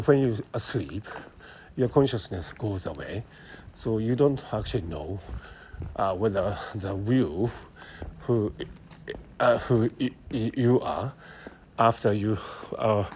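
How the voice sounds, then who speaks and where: A man with a Japanese accent thinking aloud in a halting monologue while walking city streets, speaking into a phone, full of fillers and restarts. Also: fairly low pitch, darkish tone, a little hiss or hum. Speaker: podcast host. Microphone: phone held close.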